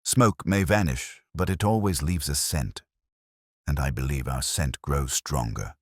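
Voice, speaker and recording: A man's voice: a bass voice, a little slow, husky, no echo, clean studio sound, full character voice. A man voicing a keen, commanding detective in an audiobook, precise, formal and theatrical in his phrasing. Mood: triumphant, resolute.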